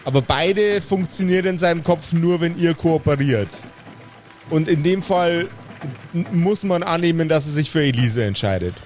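A man's voice: on the high side, lively intonation, moderate pace, a little mellow, slight background noise. A man narrating an improvised tabletop role-playing game as the game master. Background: background music.

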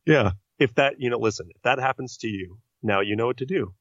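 A man's voice: mid-range voice, a little fast, fairly bright tone, dry recording. A man who is a voice-actor guest on a podcast, talking earnestly and at length. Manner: earnest, talkative, explains at length. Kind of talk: casual podcast interview. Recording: dry, muffled lo-fi sound.

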